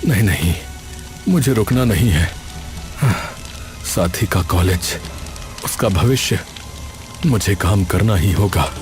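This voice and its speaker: A tired, dutiful cartoon father, acted by a man, speaking slowly and low. He sounds submissive and strained, with music behind him. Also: lively intonation. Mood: desperate resolve. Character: weary, obedient father working as a cook. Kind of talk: Hindi cartoon drama acting.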